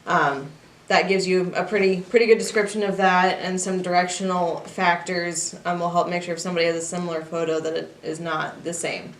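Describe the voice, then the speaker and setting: A woman's voice: lowish voice, little pitch movement, fairly quick. A woman giving an informal, practical instructional walkthrough in a plain way. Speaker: 4-H youth assistant giving a staff training. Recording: echoey room, distant mic, faint noise.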